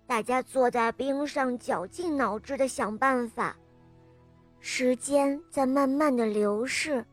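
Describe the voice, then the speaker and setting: A woman reading a scripted storybook tale for young children, expressively and addressed to little listeners. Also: high-pitched. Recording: music under the voice. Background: music.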